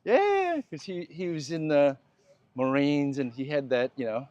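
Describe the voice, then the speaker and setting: A man in his fifties hosting a walking conversation outdoors, thoughtful and quick to laugh. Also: on the high side, big pitch swings, a little mellow, dull audio. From United States. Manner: thoughtful, laughs easily, restarts phrases. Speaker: host. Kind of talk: casual conversation while walking. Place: outdoors on a walk.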